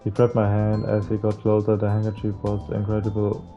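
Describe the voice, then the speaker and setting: A man reading visual novel text aloud as a playthrough host, stumbling over words now and then in a halting way. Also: lowish voice, monotone. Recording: muffled lo-fi mic, music behind. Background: game music.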